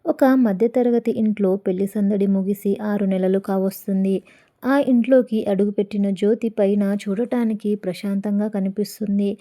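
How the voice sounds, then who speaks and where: A woman's voice: mid-range voice, warm tone. A woman with a Telugu accent narrating a scripted audio story as its host.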